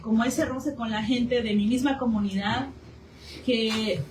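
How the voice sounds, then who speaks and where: A woman with a Mexican accent giving a spontaneous personal account as a participant in a community discussion. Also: on the high side. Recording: echoey room, distant mic, faint noise.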